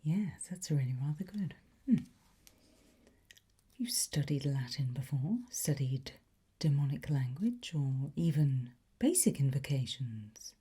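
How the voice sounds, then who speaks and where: Very slow, breathy whispering woman. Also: sits low, animated. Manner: gentle, unhurried, encouraging. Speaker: ASMR host playing a language teacher. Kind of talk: whispered ASMR teacher roleplay.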